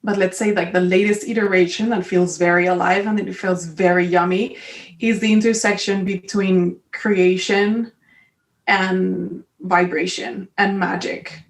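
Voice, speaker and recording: A woman's voice: mid-range voice, measured pace, gravelly, echoey room, far from the mic. A Colombian woman with a Spanish accent, guest on a podcast, chatting openly and laughing often. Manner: warm, giggly, expressive. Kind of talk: casual conversation.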